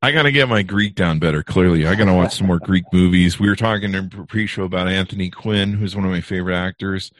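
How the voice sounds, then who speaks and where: Deep-voiced chatty male podcast host. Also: fast, hardly any pauses, a bit bright, dry recording. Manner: rambling, chatty, many fillers and restarts. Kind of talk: casual interview chat.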